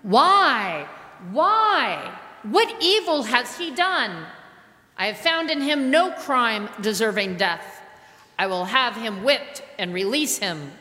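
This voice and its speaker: A woman reading the part of a Roman governor who is reluctant to condemn, in a measured, earnest voice during a liturgical reading. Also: on the high side, sing-song, bright, forceful tone, full character voice. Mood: pleading. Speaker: liturgy reader. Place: large reverberant church. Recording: long church reverb.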